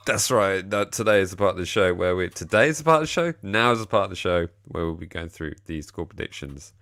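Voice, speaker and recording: Tired-sounding deep-voiced man. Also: animated, fast talker, a bit bright, no room sound, studio quality. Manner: rambling, self-deprecating. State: tired, slightly deeper voice than usual. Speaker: podcast host. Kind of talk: casual football chat.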